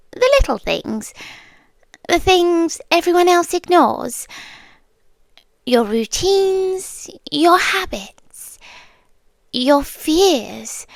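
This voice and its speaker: A British woman playing a possessive, menacing yandere girlfriend in an ASMR roleplay, soft-spoken and deliberate, often slipping into whispers, sweetly condescending. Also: high voice, creaky, no room sound, heavily acted. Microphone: close, dry microphone.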